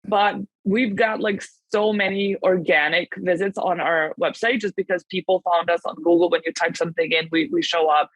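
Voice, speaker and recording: Fast-talking Serbian woman, dry sound. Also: fairly low pitch, fairly even intonation, no room sound, rough recording. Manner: fast, rambling, many fillers and restarts. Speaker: guest, translation agency founder. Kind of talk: casual podcast interview.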